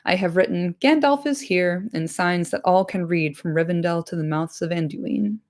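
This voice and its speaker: A woman plays a grave old male wizard from a fantasy storybook. The voice sits fairly low with a marked creak, firm and commanding as it counsels companions. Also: normal speed, a little mellow, close to an everyday voice. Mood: weary, commanding.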